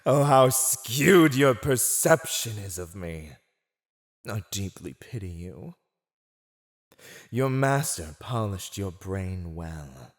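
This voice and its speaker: An actor playing a silver-tongued, condescending fantasy villain who teases and mocks a prisoner in a scripted ASMR roleplay monologue. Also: male voice, on the low side, lively intonation, takes it slowly. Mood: smug, menacing. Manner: theatrical, condescending, teasing.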